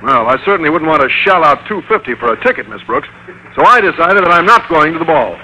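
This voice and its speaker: Bright-voiced, earnest, naive male schoolteacher. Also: a little high, very animated, fast, full character voice. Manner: earnest, stiffly formal, flustered. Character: naive, stingy, literal-minded male schoolteacher. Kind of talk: 1940s-50s radio comedy acting. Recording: faintly noisy old radio recording.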